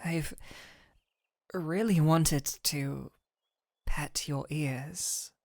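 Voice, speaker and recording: A gentle fantasy doctor voiced by a woman in an intimate audio roleplay. She speaks very slowly in a slightly low, soft voice that slips toward breathy near-whispers. Her manner is affectionate and teasing, and the sound is close and dry. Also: no room sound, heavily acted. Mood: tender, shy.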